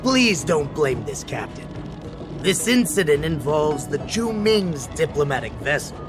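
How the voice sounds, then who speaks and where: A man's voice: fairly high pitch, very animated, unhurried. A man voicing a conciliatory patrol officer in a video game, giving explanations in a polite, orderly way. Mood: polite, explaining. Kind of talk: English video game voice acting. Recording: music behind the voice. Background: game music.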